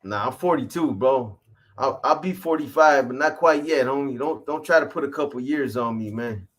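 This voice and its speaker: A man's voice: medium pitch, moderate pace, a bit bright. Laid-back slangy man in his fifties. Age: 50s. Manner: laid-back, profane street slang, frequent "man" and "bro". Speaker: livestream host reading viewer comments. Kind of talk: casual livestream chat.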